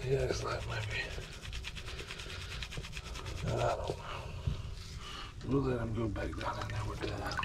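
Man, home vlogger, easygoing and rambling, mid-pitched, recorded at a kitchen sink with water noise. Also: flat delivery, slow pace, creaky, pauses often. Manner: rambling, folksy, talks to the dog. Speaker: vlogger washing his dog. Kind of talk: casual running commentary. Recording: running water noise behind.

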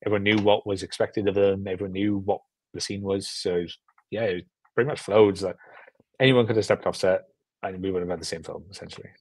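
A man's voice: sits low, steady tone, fairly quick, no echo, rough recording. A young man talking casually and informally as an interview guest, in an easygoing way. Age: young adult. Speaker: film director, interview guest. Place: indoor room at home, on a video call.

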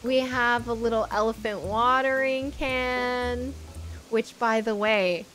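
A woman's voice: on the high side, steady tone, slow pace, rough recording. A young woman giving casual livestream commentary on a game, chatty, gushing and easily delighted, close on a microphone. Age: young adult. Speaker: streamer hosting a game island tour. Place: indoor streaming setup. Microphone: close microphone on boom arm. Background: soft game music.

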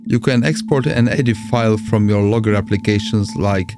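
A man's voice: lowish voice, moderate pace. A man from Poland with a Polish accent, narrating a step-by-step amateur radio screen tutorial as its host. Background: music.

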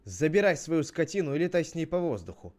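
A man voicing a domineering, hot-tempered grown brother in an animated parable, bossy and mocking. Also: medium pitch, moderate pace, voice pushed up, lightly acted. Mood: furious shouting.

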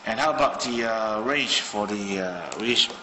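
A man's voice: fairly low pitch, unhurried, in a large hall, background noise. A man from Malaysia casually demonstrating a radio at his desk, thinking aloud with many fillers. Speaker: hobbyist radio reviewer. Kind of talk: casual hands-on product demo. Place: indoor room at a desk.

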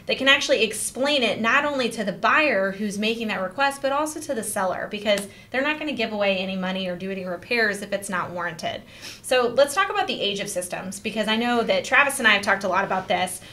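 A woman in her thirties hosts the show with a bright, forceful tone. She explains things clearly and guides the conversation. She speaks into a desk podcast mic in a small office. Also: medium pitch. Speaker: real estate agent host. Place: small office studio, indoors.

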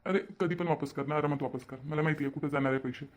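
A man's voice: fairly high pitch, fairly even intonation, rapid-fire, close to an everyday voice. A man voicing an easygoing, moody young brother-in-law in a cartoon, casual and repetitive, in Varhadi-accented Marathi. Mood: obliging. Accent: Varhadi Marathi, Vidarbha. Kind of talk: amateur cartoon voice-over.

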